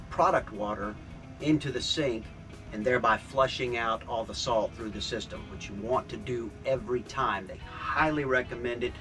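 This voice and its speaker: An older man giving a casual vlog update from a small boat cabin. He explains things in a relaxed, upbeat way, with plenty of fillers, to a camera a few feet away. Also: mid-range voice. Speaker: sailing vlogger. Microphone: camera on a tripod a few feet away.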